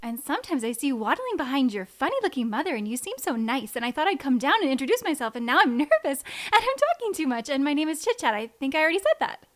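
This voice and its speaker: A woman's voice: sits high, big pitch swings, fast, few pauses. A woman voicing a nervous, chattering young female squirrel in an audiobook, rambling on in long run-on sentences. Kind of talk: audiobook character voice.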